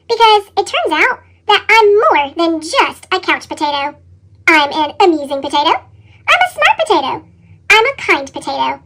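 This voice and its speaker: An earnest little couch potato from a storybook, played by a woman, squeaking in an extremely high chipmunk voice. The delivery is dramatic and excitable, leaping up and down in pitch. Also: heavily acted. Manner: exclaiming, dramatic, expressive. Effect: sped-up chipmunk pitch shift.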